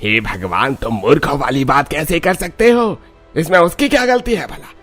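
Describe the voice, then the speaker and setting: A man's voice: a little high, moderate pace, a bit bright. A man playing a blunt, insistent tradesman in a cartoon story, with music underneath. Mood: scolding.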